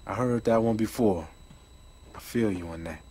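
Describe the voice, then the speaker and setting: An actor playing a cocky, defiant young street hustler in a hip-hop video game, slangy and swaggering. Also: male voice, fairly low pitch, normal speed, mellow, breathy edge. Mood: cool, cocky.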